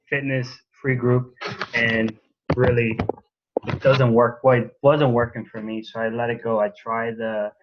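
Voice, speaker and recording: Man, fitness coach, mid pitch, halting, lots of ums, muffled call audio. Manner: halting, many ums and uhs. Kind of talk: casual sharing on a video call. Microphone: video call.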